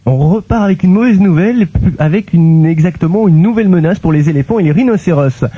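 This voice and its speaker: A man's voice: sits high, quick pace, dark tone, no echo. A man presenting radio news and putting informal questions to his guest as interviewer.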